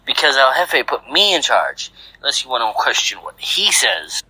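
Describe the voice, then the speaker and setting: A man's voice: medium pitch, very animated, normal speed, bright, forceful tone, husky, no room sound, pitched above the performer's own voice, heavily acted, low-quality recording. A man voicing edgy, argumentative henchmen in an urgent, brusque way. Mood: bickering, tense. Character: edgy, argumentative male jungle henchmen and lackeys.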